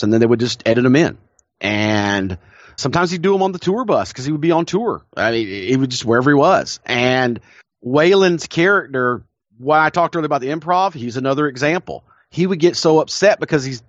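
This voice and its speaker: A man's voice: medium pitch, dry recording. A man co-hosting a podcast, telling stories with enthusiasm, often restarting his sentences and laughing. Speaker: podcast co-host. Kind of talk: casual chat. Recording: dull lo-fi dry recording.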